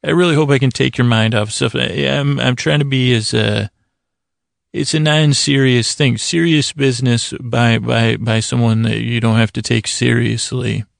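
A man with a heavily fried, gravelly voice hosts a bedtime podcast in a dry, echo-free recording. His pitch sits in the middle range. He is gentle and soothing, wandering and stumbling through halting, self-interrupted thoughts meant to help people drift off. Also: fairly even intonation. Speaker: podcast host. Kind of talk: rambling bedtime monologue to lull listeners to sleep.

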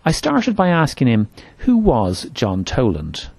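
Male podcast host; measured, expressive, dry studio sound. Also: mid-range voice, vocal fry, breathy edge, no room sound. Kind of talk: scripted introduction and interview questions.

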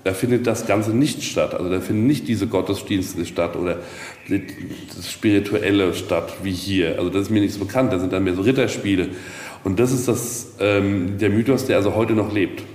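Low-voiced man in an echoey space. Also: moderate pace, a little mellow. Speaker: castle leaseholder, interviewee. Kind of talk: casual interview storytelling. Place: at a hilltop castle, reverberant. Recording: echoey, long reverb, noisy ambience.

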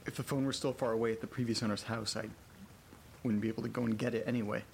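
An actor playing an anxious young man alone in a creepy house, talking haltingly to himself in a horror audio drama. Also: medium pitch, fairly even intonation, creaky, slight background noise, lightly acted. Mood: uneasy. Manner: halting, muttering to himself, swearing. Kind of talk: horror audio drama, first-person monologue.

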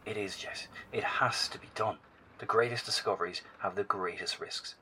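A man's voice: fairly low pitch, fairly even intonation, normal speed, pushed and bright. A man acting in an audio drama as a determined, risk-taking scout reporting over a two-way radio. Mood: resolute. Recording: noisy radio link with ambience.

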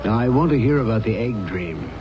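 An actor playing a composed, probing male doctor who questions deliberately and with suspicion in a 1970s radio drama. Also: fairly low pitch, lively intonation, music in the background, lightly acted. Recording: noisy, dull 1970s radio broadcast.

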